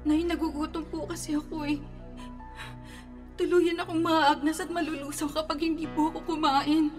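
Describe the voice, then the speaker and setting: A woman voicing a young woman risen from the grave, rotting and hungry for raw meat, pleading softly and eerily calm in a radio drama. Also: high-pitched, normal speed, natural voice. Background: music.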